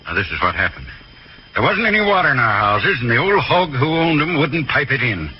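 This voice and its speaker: An old radio drama with a dull, slightly noisy sound. A male actor plays a cagey working-class father with slangy, evasive talk. His mid-pitched voice swings freely, always on the defensive. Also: very animated, a little fast, close to an everyday voice. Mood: grudging. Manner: colloquial, evasive. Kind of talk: 1940s radio drama acting. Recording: muffled old radio recording, faint noise.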